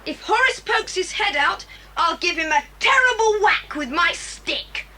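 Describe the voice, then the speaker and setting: Bold high-voiced boy leader. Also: lively intonation, bright, forceful tone, exaggerated voice. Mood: urgent, commanding. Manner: brisk, commanding, earnest. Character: Jack, bold decisive boy leading the children. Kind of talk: children's audiobook drama acting. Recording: hissy, rumbling 1980s cassette transfer.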